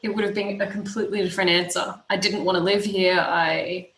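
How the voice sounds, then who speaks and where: A woman's voice: lowish voice, fairly even intonation, fairly quick, fairly bright tone. Candid woman guest in a roomy echo. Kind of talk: casual interview chat.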